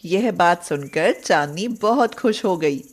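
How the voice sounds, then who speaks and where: Woman narrator, slow and low, measured storybook reading. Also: expressive.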